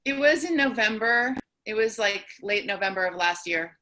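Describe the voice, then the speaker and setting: A woman's voice: medium pitch, animated, normal speed, bright, forceful tone, rough recording. A middle-aged woman playwright casually explaining her own play. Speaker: playwright and performer.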